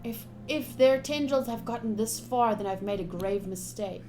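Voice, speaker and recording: A woman streaming a game, reading story text aloud in an expressive, chatty way with casual asides. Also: fairly high pitch, normal speed. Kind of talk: live visual novel playthrough, reading aloud with casual asides. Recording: soft music behind voice. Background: game music.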